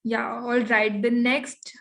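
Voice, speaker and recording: A woman's voice: on the high side, level tone, slow pace, vocal fry, fairly bright tone. A woman with an Indian accent hosting an online meeting in casual, chatty talk, often checking with okay and right. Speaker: meeting host. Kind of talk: casual organising chat. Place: online video call.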